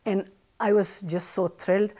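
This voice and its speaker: Mellow-voiced woman from Pakistan. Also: mid-range voice, level tone, a little slow. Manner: thoughtful, frequent fillers. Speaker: guest author and chef. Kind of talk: interview conversation. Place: studio set with armchairs and plants.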